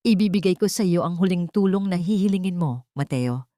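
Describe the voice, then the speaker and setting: A woman's voice: lowish voice, animated, fairly quick, dark tone, dry recording, studio quality, lightly acted. A woman voicing a wounded, resolute wealthy young woman in a solemn, dramatic audio story. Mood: cold, resolute.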